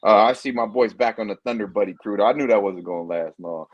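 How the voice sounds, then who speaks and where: Blunt, sweary man with lowish voice. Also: fast talker, no room sound, dull audio. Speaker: panelist on a sports betting show. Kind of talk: casual chat, profane slang.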